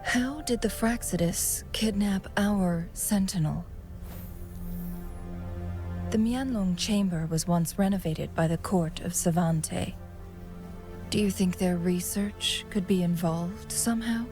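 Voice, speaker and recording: A shrewd, composed female strategist in a video game. Her voice sits fairly low and she speaks politely, with clear music behind her. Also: slow. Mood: thoughtful, decisive.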